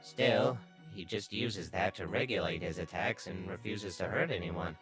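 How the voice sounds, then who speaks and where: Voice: sits low, normal speed, a bit bright, soft background music. A performer voicing a sly, obsessively curious little talking flower in a comic dub, brooding and easily exasperated. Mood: puzzled, scheming. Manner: brooding, scheming, bursting into exasperated outbursts. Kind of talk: comic dub character narration and dialogue.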